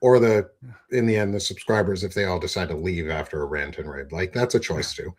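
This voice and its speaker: Brief: easygoing male podcast host, lower voice, quick to praise and joke. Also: fairly even intonation, slightly rough. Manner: encouraging, joking, laid-back. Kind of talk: casual interview conversation.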